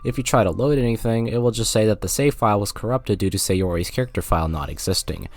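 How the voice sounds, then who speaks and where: A man's voice: fairly low pitch, fast, darkish tone. A man narrating a scripted, jokey video game review with sarcastic, playful asides.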